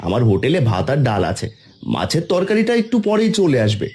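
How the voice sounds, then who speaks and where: A man's voice: a little high, huge pitch swings, brisk, darkish tone, slight background noise. A man voicing a kindly, worried small eatery owner in a cartoon. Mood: eager. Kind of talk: cartoon voice acting.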